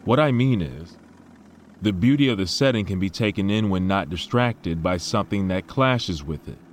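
Casting: idealistic tech mogul, audio drama. Very deep, genteel, self-assured, formal and reflective. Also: male voice, lively intonation, moderate pace, a little hiss or hum. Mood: reflective, proud.

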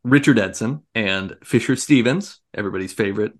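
A man's voice: lowish voice, brisk. A man co-hosting a podcast, chatting casually and wryly as he shares tidbits and mock quotes. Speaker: podcast co-host. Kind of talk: casual chat.

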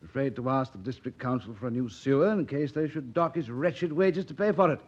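An actor playing a cynical, hard-drinking, witty doctor in a vintage radio play, with a breezy, ironic, theatrical delivery. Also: male voice, medium pitch, brisk, darkish tone, husky, natural voice. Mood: caustic, blunt. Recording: dull old radio broadcast recording.

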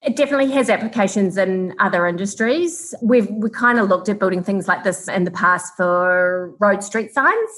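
A woman's voice: medium pitch, normal speed, hardly any pauses, fairly bright tone. A woman with a New Zealand accent, answering conversationally as an interview guest who farms and founded a tech business.

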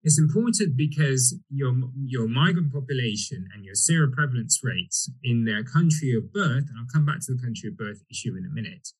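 A man originally from Egypt, a doctor giving a measured medical presentation with frequent fillers. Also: medium pitch, expressive, breathy edge, poor audio.

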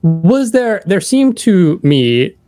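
A man's voice: high voice, very animated, takes it slowly, mellow, soft background music. A man hosting a podcast interview in casual conversation, with an informal, rambling way of putting things. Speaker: podcast host.

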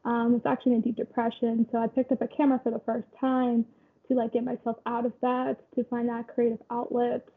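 Young woman guest, high voice, kinda husky and breathy, sounds like a phone line, chatty and loose. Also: fast. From Kenya. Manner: relaxed storytelling with frequent fillers and laughs. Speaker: guest, photographer and community founder. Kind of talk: conversational interview.

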